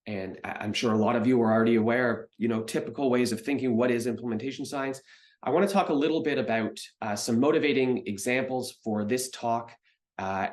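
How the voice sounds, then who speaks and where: A Canadian man in his forties talks through a recorded presentation in a loose, conversational way. His voice sits at an ordinary middle pitch, and he fills the pauses with plenty of ums and uhs. He is close to a webcam mic in a small home office. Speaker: researcher giving a recorded talk. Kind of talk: presentation lecture.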